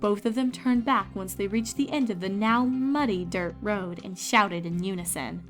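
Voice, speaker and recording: A woman's voice: mid-range voice, normal speed, soft background music. A woman reading scripted story narration as the narrator of a fan fiction.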